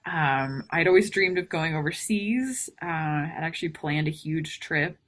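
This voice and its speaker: A woman's voice: deep voice, lively intonation, unhurried, a bit bright. A young woman from Texas with a slight Texas accent, co-hosting a podcast in a chatty, giggly way with plenty of ums. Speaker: podcast co-host. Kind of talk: casual podcast chat.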